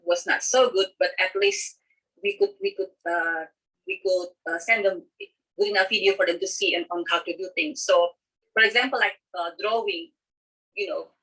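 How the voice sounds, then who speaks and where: A woman from Indonesia presenting as a teacher in an informal, halting way, often repeating herself. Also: mid-range voice, expressive, fairly bright tone, husky. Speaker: teacher presenting a class schedule. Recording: distant microphone on online call.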